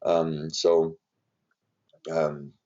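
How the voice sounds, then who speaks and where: Deep-voiced Brazilian man, warm and dull. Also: completely flat delivery, extremely slow. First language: Portuguese. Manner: rambling with many fillers and restarts. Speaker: NBA strength and conditioning coach. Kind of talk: casual interview talk. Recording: dull lo-fi audio.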